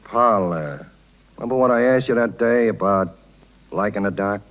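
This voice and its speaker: An actor playing a plain-spoken, protective circus worker in a 1930s radio drama, with blunt, slangy working-class talk. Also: male voice, fairly low pitch, normal speed, natural voice. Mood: earnest, tender. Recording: dull 1930s radio recording.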